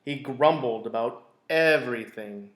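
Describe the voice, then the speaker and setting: Guy reading a kids book out loud, expressive storyteller, kinda echoey room. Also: medium pitch, unhurried. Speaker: school read-aloud storyteller. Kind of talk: reading a children's picture book aloud. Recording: roomy, echoey indoor sound.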